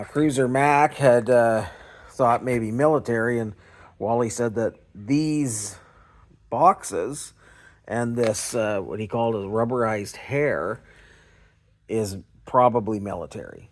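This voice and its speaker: A man casually showing and explaining things as a video host, in a halting, unsure way. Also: on the low side. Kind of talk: casual show-and-tell explanation.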